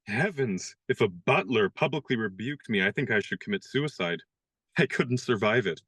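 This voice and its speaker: An actor in a radio play playing a young gentleman disguised as a valet, speaking in a dry, good-humored, polite way. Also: on the low side, lively intonation, normal speed, husky, dry recording. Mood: wry.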